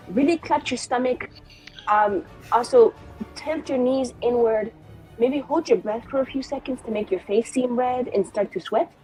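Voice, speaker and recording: A woman's voice: a little high, a little mellow, breathy edge, rough recording. A woman playing a tabletop game, improvising in character in a coaching, scheming manner.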